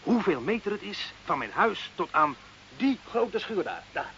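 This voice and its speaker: A man's voice: sits high, sing-song, unhurried, pushed and bright, full character voice. An actor playing a familiar, teasing younger village man, an ex-convict, in a radio drama. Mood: jovial, coaxing. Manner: questioning, teasing, colloquial. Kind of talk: Dutch radio drama acting. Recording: muffled old radio recording, faint hiss.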